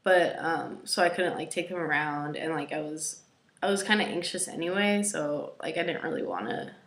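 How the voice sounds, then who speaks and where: A young woman with a raspy, gravelly voice sits at home and talks to the camera in a loose video diary. She rambles and hesitates, stacking fillers and sometimes sinking to a near whisper. Her voice is fairly low and rings around an echoey room. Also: moderate pace, a bit bright. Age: young adult. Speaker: vlogger. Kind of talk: casual personal video diary. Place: at home, indoors.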